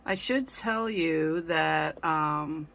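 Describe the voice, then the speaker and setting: A woman hosting a casual craft tutorial, speaking gently with frequent ums, her voice a little raspy from a sore throat. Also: low-pitched, extremely slow. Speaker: craft channel host.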